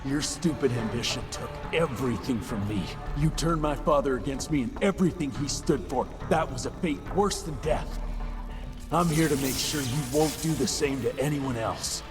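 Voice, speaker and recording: A man's voice: medium pitch, moderate pace, natural voice. An actor playing a resolute male gunslinger vampire hunter in a video game, speaking in a tough, terse way. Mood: bitter, defiant. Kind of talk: video game cutscene acting. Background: dramatic game music.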